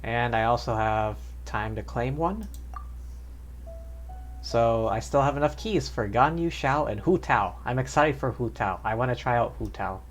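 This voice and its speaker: A man's voice: mid-range voice, lively intonation, unhurried, faint music behind. A man doing casual live gameplay commentary as a streamer, talking to himself as he plays. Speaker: game streamer.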